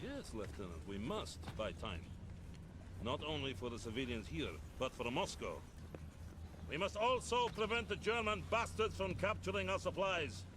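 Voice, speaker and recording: An actor playing a stern, contemptuous Soviet NKVD colonel in a video game cutscene, commanding and authoritative. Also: male voice, fairly high pitch, animated, moderate pace, noisy background, natural voice. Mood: cold, measured.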